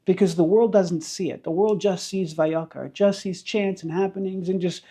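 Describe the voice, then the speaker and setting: Guy rabbi doing a casual torah lesson, soft dark voice w some gravel, really hammers his points by repeating them. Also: sits high, steady tone, normal speed. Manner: emphatic, explanatory, repeats phrases with rhetorical questions. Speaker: rabbi and teacher. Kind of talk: informal Torah lesson to camera.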